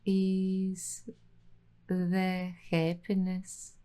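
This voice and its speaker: Slow, soft-spoken dreamy woman. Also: fairly low pitch, monotone. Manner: slow, dreamy, intimate, addressing the listener directly. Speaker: ASMR roleplay host. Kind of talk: soft-spoken ASMR roleplay.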